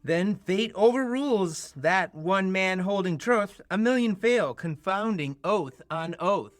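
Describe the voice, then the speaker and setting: A man's voice: sits high, animated, slow, no echo. A teenage man playing an eager, mischievous fairy servant in an amateur Shakespeare radio play, speaking verse playfully. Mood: gleeful, eager. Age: late teens.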